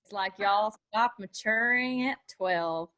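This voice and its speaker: A woman reads the part of a young country drifter with an old soul. She is plainspoken and folksy, pressing gently in a medium-pitched voice, as in a drama read-through. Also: slow, dull audio. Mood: exasperated. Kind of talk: screenplay table read.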